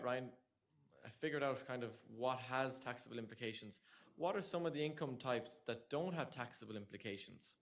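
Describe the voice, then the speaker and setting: A young man with an Irish accent, presenting a tax information session to a room of students in a conversational, joking way. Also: mid-range voice. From Ireland. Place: lecture room with an audience.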